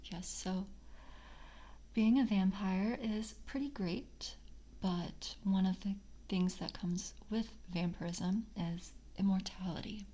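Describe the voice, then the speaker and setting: Woman playing a slow, breathy whispering vampire. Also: medium pitch, mellow, poor audio. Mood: measured, formal. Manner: slow, hesitant, coaxing, formal, trailing off. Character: polite, hungry female vampire coven screener, slyly predatory. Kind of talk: soft-spoken ASMR roleplay with whispering.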